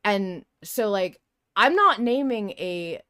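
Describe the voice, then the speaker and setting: A woman podcast co-host in casual, unscripted debate, speaking in an animated, blunt and argumentative way. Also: mid-range voice, slow pace, smooth, no room sound, studio quality.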